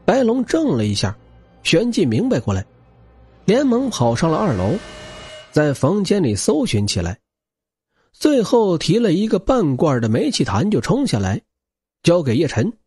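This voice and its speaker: A man's voice: fairly high pitch, huge pitch swings, normal speed, long pauses, dark tone, husky, no echo. A man narrating action fiction as a scripted audiobook, with a dramatic, theatrical and intense delivery.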